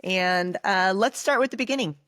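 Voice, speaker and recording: A woman's voice: medium pitch, moderate pace, fairly bright tone, dry recording, clean studio sound. A woman hosting a podcast interview, upbeat and reading prepared questions. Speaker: podcast host.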